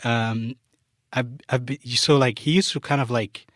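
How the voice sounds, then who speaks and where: A man's voice: mid-range voice, a little slow, no echo, studio quality. A young man, a Luganda speaker, chatting casually as a podcast guest and using many fillers. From Uganda. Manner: relaxed, many fillers like "like" and "you know". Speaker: guest, software developer.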